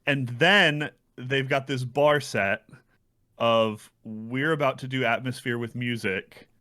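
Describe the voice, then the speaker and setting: A man's voice: mid-range voice, slow, sharp tone, no echo, studio quality. A man co-hosting a podcast, casually discussing video games. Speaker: podcast co-host.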